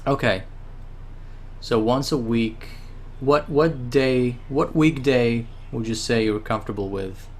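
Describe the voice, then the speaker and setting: An Israeli man with a middle-range voice speaks patiently over a video call. He explains things slowly, circling back with small restarts. A faint hiss sits under the line. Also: mid-range voice, a little mellow. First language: Hebrew. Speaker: Hebrew tutor. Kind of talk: casual orientation call.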